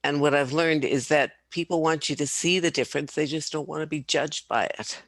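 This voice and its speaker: A man's voice: on the high side, a little fast, a bit bright, no echo. An older man speaking earnestly in a casual group discussion. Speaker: workshop participant.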